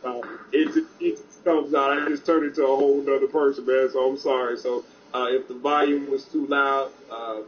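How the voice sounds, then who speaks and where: Loud passionate man on a call. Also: medium pitch, little pitch movement, normal speed, few pauses, lo-fi sound. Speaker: guest. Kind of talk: online live-stream talk show.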